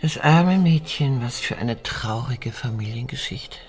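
Poised mature madame with wide-swinging pitch. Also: female voice, lively intonation, unhurried, a little mellow, faint music behind. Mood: reserved, reluctant. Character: worldly, poised mature madame, cool and formal. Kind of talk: German radio drama acting.

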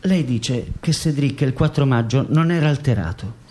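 An actor playing a composed, formal questioner in a radio drama, speaking in measured phrases. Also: male voice, mid-range voice, darkish tone, natural voice. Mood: probing. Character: probing, composed male interviewer questioning a bereaved mother.

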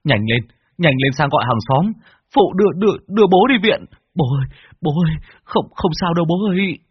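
A man's voice: on the high side, lively intonation, fast talker, husky, dry recording, pitched above the performer's own voice. A man voicing a panicked grown son who cries and stammers frantic, repeated calls, in a dull recording. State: crying. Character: panicked grown son wailing for his father. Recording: dull recording with faint music.

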